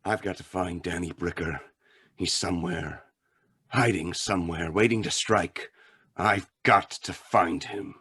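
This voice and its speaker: A man's voice: low-pitched, steady tone, measured pace, sharp tone, husky, exaggerated voice. A man playing a brooding, determined crime fighter in an old-time radio drama.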